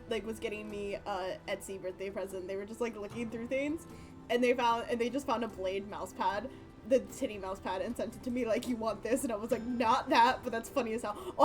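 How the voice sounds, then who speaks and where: Casting: young female streamer, high-pitched, slangy and sweary, rambling casual commentary with faint music behind. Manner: giggly, profane, slangy, rambling. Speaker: livestreamer.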